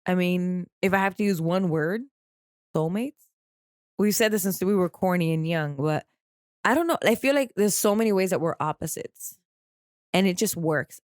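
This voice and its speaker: A woman's voice: lowish voice, dry recording. A woman co-hosting a podcast, nasal from crying, talking candidly and in a rambling way about a close friendship. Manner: candid and rambling, with casual swearing. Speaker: podcast co-host. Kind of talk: casual heartfelt chat.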